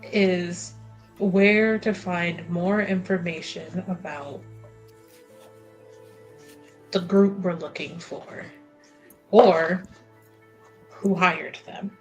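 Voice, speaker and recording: A woman playing a tabletop roleplaying game, thinking aloud in character in a measured, halting way. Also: fairly low pitch, steady tone, faint music behind, rough recording. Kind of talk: casual improvised in-character roleplay.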